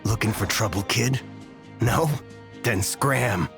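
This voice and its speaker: A man's voice: on the low side, takes it slowly, creaky. A man voicing a surly, threatening thug in a video game, speaking curtly. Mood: menacing. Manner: curt, threatening. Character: surly, menacing male thug. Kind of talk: scripted video game character acting. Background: game music.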